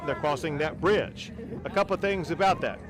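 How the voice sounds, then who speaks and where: A man speaking as a governor at a press conference, emphatic and forceful, outdoors by a river. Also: on the high side, moderate pace, a crowd in the background. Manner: forceful, emphatic political speech. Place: outdoors by a river border crossing.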